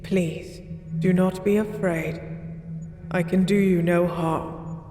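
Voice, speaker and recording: A mysterious ancient deity, given voice by an actor that speaks slowly from far off, its words ringing through a long echoing hall. Music swells behind it. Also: medium pitch, steady tone, lightly acted. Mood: gentle. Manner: commanding when it banishes, soft and soothing after. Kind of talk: horror audio roleplay acting.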